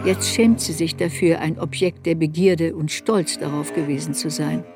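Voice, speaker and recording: An actress playing a serious woman looking back on her girlhood shame, narrating a literary radio play in a measured, introspective way. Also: low voice, fairly even intonation, over music. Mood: bitter, grave.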